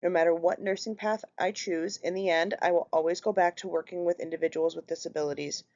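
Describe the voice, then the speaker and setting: Low-voiced Minnesotan woman reading a presentation. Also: steady tone, fairly quick, darkish tone. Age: 30s. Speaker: student presenter. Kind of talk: read class presentation. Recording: slightly muffled lo-fi recording.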